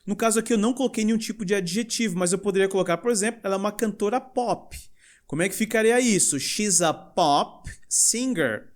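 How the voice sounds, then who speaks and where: A young male English teacher with a Brazilian Portuguese accent, explaining grammar in a lively way and reading example sentences slowly. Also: high voice. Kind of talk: recorded language lesson.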